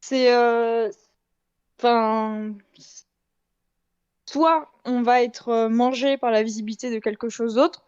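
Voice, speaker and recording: A woman explaining her opinion in a casual debate, in a measured way, on a slightly dull-sounding mic. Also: fairly high pitch, steady tone, a little mellow, smooth. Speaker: debate participant, amateur audio fiction maker.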